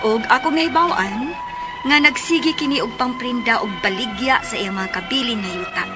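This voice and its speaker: An actress playing an earnest, aggrieved grown daughter reading out her letter in scripted radio drama narration. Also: fairly high pitch.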